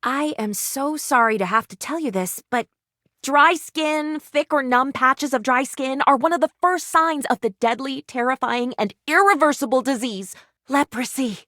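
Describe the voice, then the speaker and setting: An actress voicing an earnest, anxious, bookish would-be doctor in a video game, with quaint, wordy, theatrical delivery. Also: female voice, high voice, moderate pace, a bit bright, no echo, studio quality. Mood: worried, grave.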